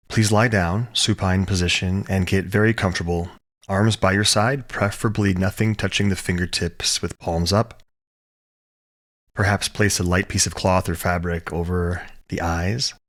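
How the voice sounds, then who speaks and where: A man leading a guided relaxation as a yoga teacher, speaking calmly and soothingly in short, measured phrases with pauses. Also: low-pitched. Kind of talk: guided meditation, gentle instruction.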